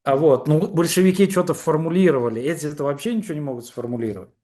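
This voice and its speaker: A man speaking as a guest in a political conversation, making his case in a halting, argumentative way with many fillers and restarts. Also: fairly high pitch, mellow.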